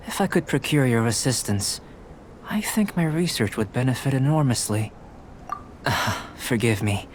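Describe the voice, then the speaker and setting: A man voicing a calm, detached young scholar-alchemist in a video game, speaking in a measured, articulate, pensive way. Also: mid-range voice, a little hiss or hum, natural voice. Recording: game music behind the voice.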